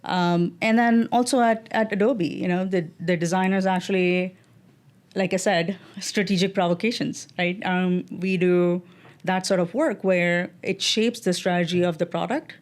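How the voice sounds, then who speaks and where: A middle-aged woman with an Indian English accent, a guest on an interview podcast, answering in a measured way with many fillers and restarts. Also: on the low side, fairly even intonation. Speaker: guest, design executive.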